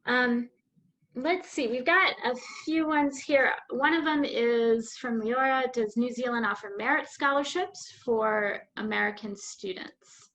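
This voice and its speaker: A woman moderating a webinar who reads out audience questions and passes them to the panel in a bright, quick way. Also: on the high side, poor audio. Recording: online video call.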